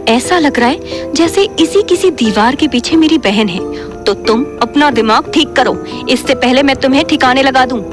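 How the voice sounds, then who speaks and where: A woman acting a bossy, sharp-tongued young woman in a horror comedy audio drama. Her voice is high and impatient, with music clearly behind it. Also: moderate pace, natural voice. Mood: exasperated. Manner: impatient, mixes in English phrases. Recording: music bed under voice.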